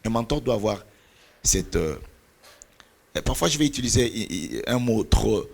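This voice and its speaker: A man's voice: on the low side, animated, normal speed, creaky, slightly rough. A middle-aged man whose first language is Portuguese, speaking as a pastor and mentor in an earnest, preaching cadence, sometimes searching for words. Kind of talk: church talk on mentoring.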